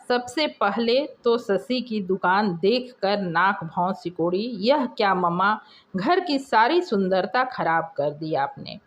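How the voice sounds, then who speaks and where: A woman reading a scripted story aloud as a storyteller. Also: a little high.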